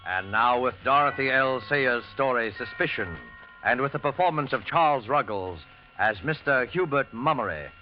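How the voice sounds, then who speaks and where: A man's voice: mid-range voice, expressive, natural voice. A man playing a mysterious host of suspense tales in 1940s radio drama, formal and dramatic in delivery. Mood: dramatic, portentous. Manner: formal, dramatic, deliberate showmanship. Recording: dull vintage 1940s radio recording. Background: prominent music.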